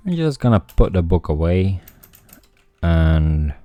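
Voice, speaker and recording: Very deep-voiced, slow-paced man. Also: big pitch swings, no echo, dull audio. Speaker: game streamer. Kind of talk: casual game commentary with read-aloud narration. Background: faint music.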